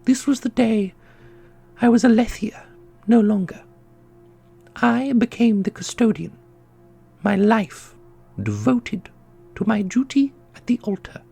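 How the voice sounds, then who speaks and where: A woman playing an ancient female custodian in a fantasy audio drama, dignified and sorrowful, speaking in a formal, measured, gentle way. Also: fairly high pitch, expressive, vocal fry, slightly rough, faint music behind, full character voice. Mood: sorrowful, solemn.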